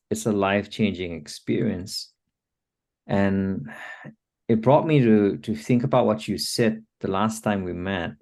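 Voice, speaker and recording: A man with a low voice hosts a coaching interview. He speaks very slowly and deliberately, breaking sentences with pauses, and sounds encouraging as he reflects back and asks questions. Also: darkish tone. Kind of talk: conversational interview hosting.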